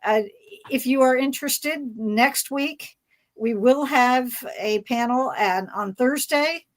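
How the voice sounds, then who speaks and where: A woman's voice: sits high, slow pace. A woman hosting a casual fan panel, talking in a hesitant, rambling way as she searches for words. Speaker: panel host.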